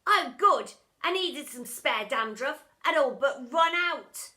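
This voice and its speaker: A woman's voice: sits high, animated, takes it slowly, sharp tone, pitched above the performer's own voice, exaggerated voice. A woman voicing a cheeky, filthy schoolboy in a storybook, exclaiming with eager glee. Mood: delighted.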